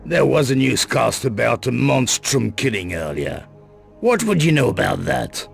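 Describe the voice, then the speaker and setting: An actor playing a wary, menacing underworld boss in a video game, guarded and dismissive in manner. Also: male voice, mid-range voice, big pitch swings, measured pace, vocal fry, faint music behind, full character voice. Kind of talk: video game cut-scene acting.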